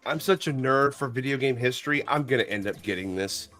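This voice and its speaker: A man with a head cold, losing his voice, chatting excitedly on a livestream, cursing and tripping over his words. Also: medium pitch, brisk, soft background music. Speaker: podcast co-host. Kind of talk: casual livestream chat.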